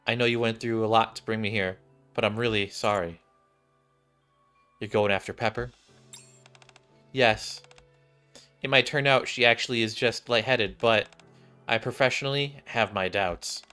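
A man's voice: fairly low pitch, steady tone, moderate pace, pauses often, pushed and bright, clear, resonant tone. A young adult man, a game streamer, reading visual novel dialogue aloud. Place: small indoor room. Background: game music.

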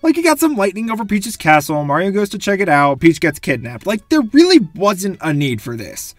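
A young man with a high voice that swings up and down eagerly. He reviews video games in a gushing, scripted style. The sound is close and dry, with faint music behind him. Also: fairly quick, no echo. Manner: gushing, animated. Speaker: video game reviewer, host. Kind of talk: enthusiastic scripted commentary.

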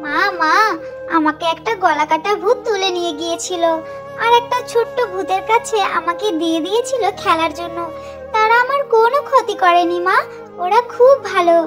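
A woman's voice: normal speed, over music. A woman voicing a tiny, homesick baby bird girl in a children's cartoon, childlike and plaintive. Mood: earnest. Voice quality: squeaky, childlike. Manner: plaintive, whimpering.

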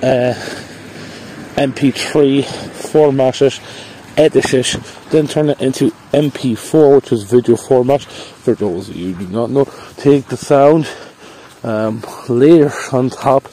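A man's voice: medium pitch, slow, mellow, a little hiss or hum, poor audio. Chatty man vlogging outdoors. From Ireland. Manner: chatty and rambling, playful sing-song bursts. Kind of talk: casual walking vlog. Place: outdoor park path in falling snow. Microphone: phone held at arm's length. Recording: faint outdoor ambience.

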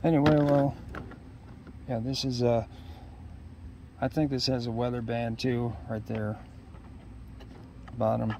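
Male unboxing vlogger, middle-aged, unhurried and hesitant, casual delivery, outdoor background noise. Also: mid-range voice, flat delivery, darkish tone. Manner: hesitant, musing to himself in short fragments. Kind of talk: casual unscripted unboxing chat. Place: outdoors in a leafy yard. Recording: outdoor ambient noise behind voice.

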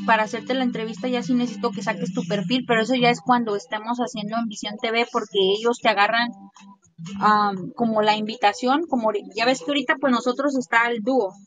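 A woman's voice: fairly high pitch, fairly even intonation, quick pace, fairly bright tone, faint music behind, rough recording. A woman, hosting a podcast in a rambling, chatty way with many restarts. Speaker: podcast host. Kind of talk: casual chat.

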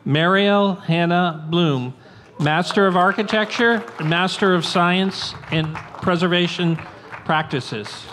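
A man formally reading out graduate names and degrees in measured, clear tones at a podium microphone in a large outdoor tent. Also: sits high. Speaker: name reader at a graduation. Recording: crowded outdoor tent, loud background noise. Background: crowd noise and applause.

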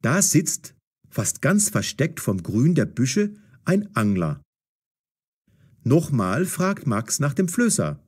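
A man narrating a scripted story for language learners, articulating clearly and expressively. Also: mid-range voice, very animated, slow, darkish tone.

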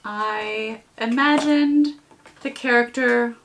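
A woman's voice: on the high side, takes it slowly, fairly bright tone, a little hiss or hum, rough recording. A woman in her thirties presenting casually with playful, theatrical emphasis in a home kitchen. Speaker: puppeteer presenter. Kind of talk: casual explainer.

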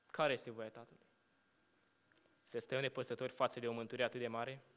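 A young man preaching a sermon from a lectern, asking rhetorical questions and letting his phrases fall to hushed endings. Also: medium pitch, flat delivery. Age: young adult. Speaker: student preacher. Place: church hall at a lectern. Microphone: lectern microphone. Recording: long hall reverb.

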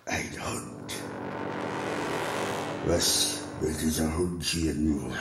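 Man playing a very deep-voiced cruel sorcerer. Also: fairly even intonation, heavily acted. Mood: cold contempt. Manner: slow, disdainful. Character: cruel, contemptuous sorcerer priest, cold and menacing. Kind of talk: audio drama acting. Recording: echoing, loud music underneath.

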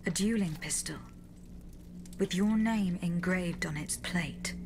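A woman voicing a courteous female automaton bodyguard in a video game, speaking in a measured, formal and restrained way. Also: on the low side, background noise. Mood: composed, formal. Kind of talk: video game cutscene acting.

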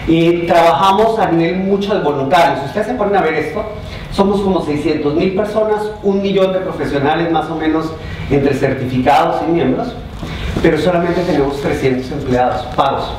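A man's voice: fairly high pitch, moderate pace, a little mellow. A middle-aged man with a Colombian accent giving an informal, conversational conference presentation, often checking in with the audience. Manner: conversational, addresses the audience with questions and check-in tags. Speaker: conference speaker. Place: large indoor conference hall. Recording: echoey conference hall, distant microphone, noisy.

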